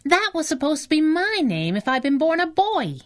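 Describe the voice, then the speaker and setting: A woman's voice: sits high, big pitch swings, normal speed. An actress playing a feisty, wisecracking young female sidekick in a 1930s-style radio drama, slangy and streetwise. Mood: urgent, sassy.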